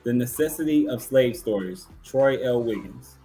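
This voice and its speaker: A man's voice: mid-range voice, expressive, moderate pace, dark tone, faint music behind, rough recording. A man casually hosting a live awards show and announcing finalists and winners.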